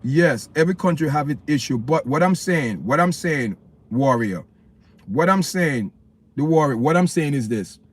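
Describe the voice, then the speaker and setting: A man's voice: on the high side, expressive, moderate pace, husky. A man from Jamaica with a Jamaican accent, ranting passionately on a livestream to his viewers and repeating his points. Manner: impassioned, repetitive, preachy, addresses viewers as "people". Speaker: livestream host. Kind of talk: opinionated live-stream rant.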